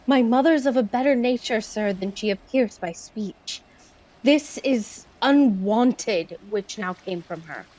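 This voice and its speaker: Woman as a tender young maiden, highish voice. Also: slow pace, a little mellow, rough recording. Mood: consoling. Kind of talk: Shakespearean audio drama acting.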